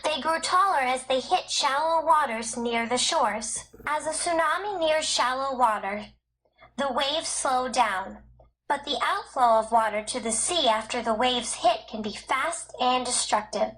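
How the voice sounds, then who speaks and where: A woman narrating a school textbook in a measured, scripted, clearly enunciated read-aloud. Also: high voice, a bit bright, low-quality recording.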